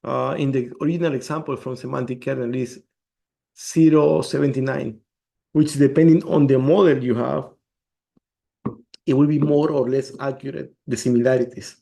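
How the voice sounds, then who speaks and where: Brief: male developer, gravelly and warm, explains code step by step. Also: mid-range voice, fairly even intonation, moderate pace. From El Salvador. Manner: explains step by step, restarts words. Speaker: developer presenting a demo. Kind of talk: screen-share tech demo.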